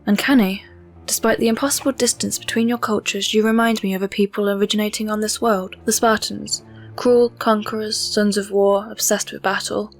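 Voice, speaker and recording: Noble warrior princess, female actor: medium pitch, solemn, stern, formally spoken, fan audio drama. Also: flat delivery, fairly quick, faint music behind, natural voice. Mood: grave disdain.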